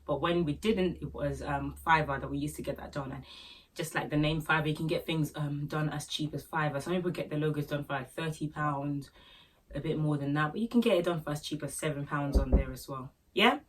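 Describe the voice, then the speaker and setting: A woman's voice: low voice, brisk, distant mic, slight background noise. A woman from Nigeria with a Nigerian Yoruba accent, chatting casually to camera and giving business tips with joking asides and fillers. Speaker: small business owner and vlogger.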